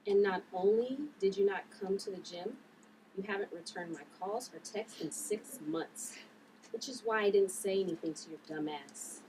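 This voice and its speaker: A woman's voice: mid-range voice, normal speed, warm tone, close to an everyday voice. An earnest, principled woman with a mid-range voice, played by a woman at a table read. She sounds a little distant from the mic, with light noise around her. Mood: annoyed. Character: earnest, principled church-going woman turned masked vigilante. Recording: distant mic, noisy room.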